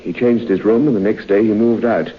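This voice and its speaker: Low-voiced man telling a creepy story, measured and grave, muffled old radio hiss. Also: steady tone, breathy edge. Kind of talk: 1950s radio drama acting.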